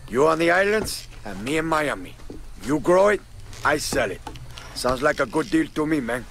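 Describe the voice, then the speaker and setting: An actor playing a cocky, foul-mouthed, flirtatious Cuban drug lord with a Cuban accent in a video game. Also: male voice, on the high side, sing-song, moderate pace, gravelly, fairly bright tone, a little hiss or hum, full character voice. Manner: brash, swaggering. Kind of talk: video game cutscene acting.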